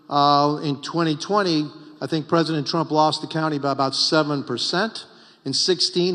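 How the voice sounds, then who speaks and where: A man's voice: on the high side, fairly bright tone, long reverb tail. A middle-aged Cuban-born man, a congressman and former mayor, giving emphatic, repetitive panel remarks at a political retreat. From Cuba.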